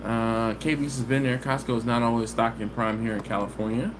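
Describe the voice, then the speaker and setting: A man's voice: mid-range voice, little pitch movement. Chatty man on a noisy live stream. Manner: easygoing and chatty, with drawn-out "mm-mm" hums. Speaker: barbecue channel host. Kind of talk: casual live stream chat, reading viewer comments. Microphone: phone camera. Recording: live stream audio with background hiss.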